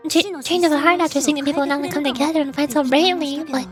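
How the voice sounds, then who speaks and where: A woman's voice: sits high, steady tone, rapid-fire, music in the background, natural voice. A woman voicing an earnest, worried young woman warrior with a pleading manner. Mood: urgent.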